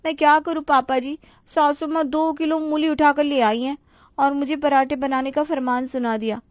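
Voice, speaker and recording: Woman as young daughter-in-law, high pitch, dutiful, politely complaining, exasperated, dry recording, story cartoon. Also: fairly even intonation, normal speed, no room sound, natural voice.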